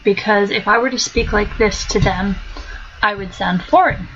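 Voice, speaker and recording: A woman's voice: mid-range voice, normal speed, fairly bright tone, muffled recording. A woman with a blended American and Australian accent, talking casually in a monologue with many ums and restarts. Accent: mixed American-Australian. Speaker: vlogger.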